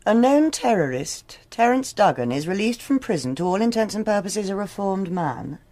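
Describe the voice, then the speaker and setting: An actress playing a brisk, sceptical senior policewoman with an authoritative manner in a radio crime drama. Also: mid-range voice, very animated, dark tone, close to an everyday voice. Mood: sceptical, stern. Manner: crisp, authoritative, dry. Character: brisk, sceptical, commanding female police superintendent.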